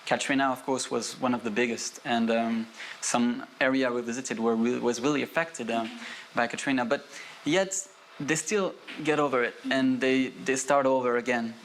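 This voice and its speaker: Man, tv co-host, loose conversational delivery, mid pitch, faint hiss behind. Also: steady tone, moderate pace, poor audio. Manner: conversational, many fillers and restarts. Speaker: TV program co-host. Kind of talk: studio conversation.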